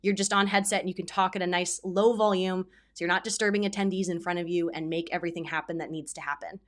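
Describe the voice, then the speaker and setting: A woman's voice: lowish voice, fairly even intonation, quick pace. A woman speaking as an event producer, giving practical advice in a casual, conversational explainer style with spoken examples. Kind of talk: casual explainer talk to camera.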